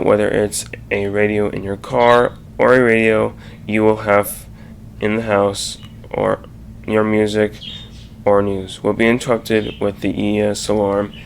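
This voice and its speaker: A man with a heavily creaky, gravelly voice explains things as the presenter of an informal slideshow. His delivery is halting, with restarts mid-sentence. The amateur voice-over has faint noise behind it. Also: on the low side.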